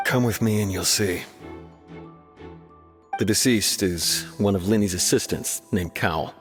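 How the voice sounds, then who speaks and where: Man playing a low-voiced, sceptical investigating officer. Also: lightly acted. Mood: frank. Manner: measured, blunt, matter-of-fact. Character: frank, sceptical adult male investigating officer. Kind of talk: video game dialogue, explanatory. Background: soft background music.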